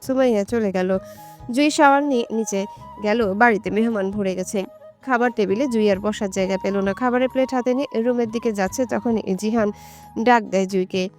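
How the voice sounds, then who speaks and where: A woman's voice: medium pitch, fairly quick. A woman reading scripted narration for a romantic story. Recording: soft music behind the voice.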